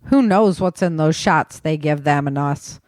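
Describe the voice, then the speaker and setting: Woman voicing a male military major for an audiobook: flat, wry, teasing, dryly recorded. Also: low voice, a little slow, dry recording, clean studio sound, voice pushed down. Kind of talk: audiobook character dialogue.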